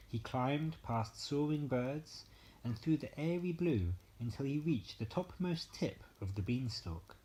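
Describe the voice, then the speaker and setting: A man narrating a bedtime story as an expressive storyteller. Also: mid-range voice, low-quality recording.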